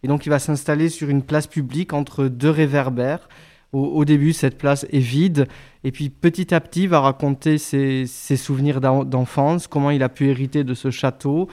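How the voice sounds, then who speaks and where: A man's voice: mid-range voice, fairly even intonation, warm tone. Guy actor chatting about his show, keeps restarting, normal voice. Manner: halting, many restarts. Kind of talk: radio interview guest.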